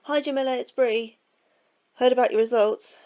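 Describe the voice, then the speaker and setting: Actress as a high, mellow, self-assured young British woman. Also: fairly even intonation, moderate pace, muffled recording, a little hiss or hum, lightly acted. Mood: awkward, condescending. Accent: British English. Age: young adult. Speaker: audio drama lead. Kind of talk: sci-fi audio drama acting.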